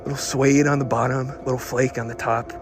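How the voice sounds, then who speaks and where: A man playing an excitable, obsessive car lover who records a rambling, stammering, eager audio diary. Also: mid-range voice, background noise, lightly acted. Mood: dreamy, obsessed. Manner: halting, stammering, breathlessly eager.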